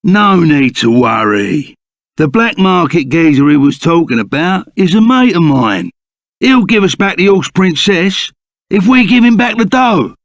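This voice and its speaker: An actor playing a gruff, loyal, blustering Cockney ex-bandit in a video game, full of rough, matey slang. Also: male voice, high voice, sing-song, unhurried, no room sound, studio quality, exaggerated voice. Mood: rough, insistent. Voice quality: gruff, gravelly. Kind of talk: video game character acting.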